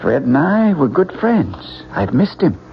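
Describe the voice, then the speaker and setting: A kindly, fatherly older gentleman in a vintage radio play. His creaky voice rises and falls freely in a gentle, courteous way, on a muffled recording. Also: a little high, very animated, unhurried. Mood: gentle, concerned. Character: kindly, generous older family friend. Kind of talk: old radio drama acting. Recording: muffled old radio recording, faint noise.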